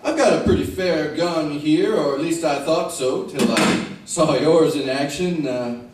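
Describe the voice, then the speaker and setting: A man playing a mild, hesitant but firm frontier marshal with a halting, folksy manner in an old-time radio western. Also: fairly high pitch, background noise, natural voice. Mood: hesitant, genial. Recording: very echoey room, distant mic.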